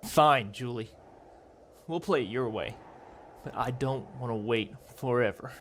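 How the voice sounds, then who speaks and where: A man's voice: medium pitch, measured pace, a little hiss or hum, close to an everyday voice. A man playing an impatient, lovestruck teenage boy in a motion comic, earnest and pleading. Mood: resigned.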